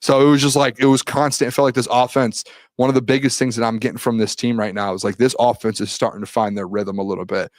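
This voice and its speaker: A man's voice: mid-range voice, fairly even intonation, fast, no room sound, studio quality. A young man hosting a sports show, rambling through a practice recap and reading viewer comments while losing his voice. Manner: rambling, lots of restarts, reads viewer questions aloud. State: losing his voice, clears throat. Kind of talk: solo live-stream recap, reading chat comments.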